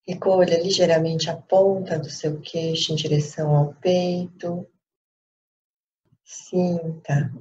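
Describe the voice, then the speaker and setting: A Brazilian woman leading a guided meditation as a teacher, speaking calmly in short phrases with long pauses. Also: fairly low pitch. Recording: dull lo-fi sound with light background noise.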